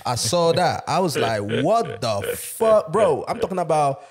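Animated man full of slang. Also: fairly high pitch, a little slow, a crowd in the background. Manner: animated, slangy, lots of "bro" and "you get me". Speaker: podcast co-host. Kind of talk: casual chat.

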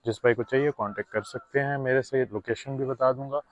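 A man's voice: medium pitch, fairly even intonation, a little fast, no room sound, lo-fi sound. Chatty man vlogging in a store. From Pakistan. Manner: chatty, mixes in English words, talks to viewers as brothers. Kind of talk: casual shopping vlog narration. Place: large indoor discount store. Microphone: phone held by the filming hand.

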